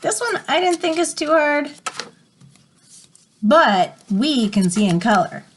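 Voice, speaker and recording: A woman's voice: high voice, sing-song, slow, slight background noise. A woman reading an educational picture book aloud as a teacher, explaining and prompting listeners as she goes. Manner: explanatory, stops to define words and prompt listeners.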